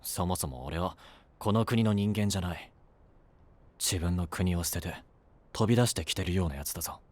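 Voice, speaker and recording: A man's voice: low-pitched, moderate pace. A man playing a brusque, self-doubting young runaway in a fantasy audio drama, blunt, casual and brooding. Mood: wary, blunt. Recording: voice over background music.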